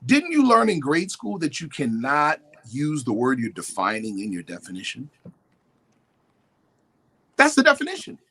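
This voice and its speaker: An emphatic man explaining his points in a lively voice that swings widely in pitch. His tone is slightly husky, and he sits a little high for a man. He keeps pressing his points and mimics other people as he talks. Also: moderate pace, pauses often. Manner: animated, emphatic, quotes definitions and mimics others. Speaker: guest speaker. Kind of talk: lecturing talk-show discussion.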